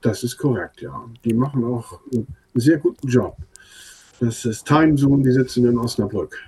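An older man whose first language is Low German, a band leader answering interview questions hesitantly with many fillers. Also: normal speed, warm tone, slightly rough, rough recording.

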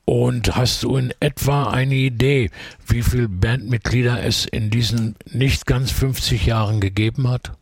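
A male radio host interviews a musician, praising the songs and steering with leading questions. His voice is gravelly and a bit husky, recorded close and dry. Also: mid-range voice, steady tone, a little slow, dry recording.

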